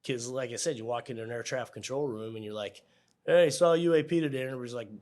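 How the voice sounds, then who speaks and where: A man's voice: mid-range voice, rapid-fire, a little mellow. A middle-aged American man giving casual, informal interview answers, chuckling and acting out bits of dialogue as he explains. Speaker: air traffic controller, interview guest.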